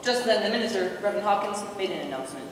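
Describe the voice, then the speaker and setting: A woman's voice: medium pitch, animated, racing, close to an everyday voice. A woman narrating a children's Christmas skit as a storyteller in a readers theater show. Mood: storytelling. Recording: echoey hall, far from mic, faint noise.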